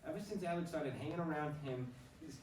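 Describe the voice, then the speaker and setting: An actor playing a troubled, earnest baseball player with a brooding, halting delivery in a staged play reading. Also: male voice, on the high side, a little mellow, natural voice. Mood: troubled, insistent. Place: performance room, recorded from a distance. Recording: roomy, distant mic, faint noise.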